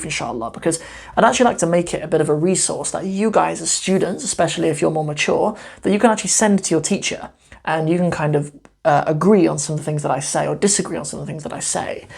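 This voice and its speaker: A man's voice: fairly high pitch, creaky, breathy edge. A man hosting his own show about learning Arabic, talking casually and filling his speech with "like" and "right?". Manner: chatty, many fillers like "like" and "right?". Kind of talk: casual solo talk to camera.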